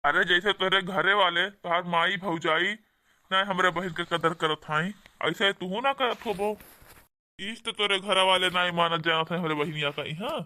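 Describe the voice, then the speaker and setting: A man's voice: high voice, measured pace, bright, forceful tone, heavily acted. A man with an Awadhi accent voicing an indignant, protective brother-in-law who scolds and argues in a comedy cartoon. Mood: angry, distrustful. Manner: scolding, argumentative. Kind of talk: amateur comedy cartoon dialogue.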